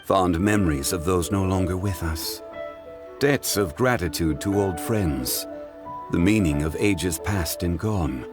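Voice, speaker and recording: A man playing a calm, refined, reflective gentleman in a video game cutscene, speaking with formal, poetic composure. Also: low-pitched, unhurried, over music.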